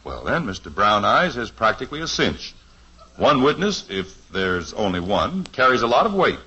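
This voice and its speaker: An actor playing a kindly middle-aged insurance man and father in a 1950s radio sitcom, earnest and gently lecturing. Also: lowish voice, big pitch swings, moderate pace, breathy edge, heavily acted. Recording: dull old radio broadcast recording.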